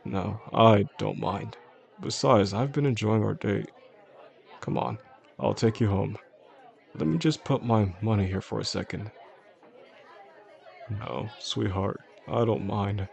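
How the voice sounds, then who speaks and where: Man acting a gravelly, soft-spoken possessive boss. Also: some chatter behind, exaggerated voice. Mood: tender, coaxing. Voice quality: low, gravelly. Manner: slow, soothing, gentle, controlled. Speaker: voice actor. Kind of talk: intimate roleplay audio drama addressed to the listener.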